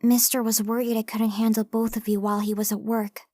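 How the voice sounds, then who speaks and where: A woman playing a fiercely protective young girl in an anime dub, bossy and matter-of-fact even when threatening. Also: a little high, brisk, no echo, natural voice. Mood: smug threatening. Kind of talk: anime fan dub acting.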